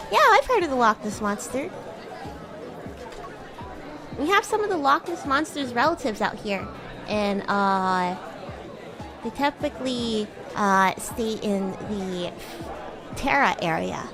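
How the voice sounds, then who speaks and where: A woman's voice: mid-range voice, voice pushed down, close to an everyday voice. A woman voicing a bratty, cute demon classmate in an improvised VTuber roleplay, teasing and coy, easily flustered into stammering. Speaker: VTuber streamer. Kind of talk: improvised VTuber roleplay, casual chat with viewers.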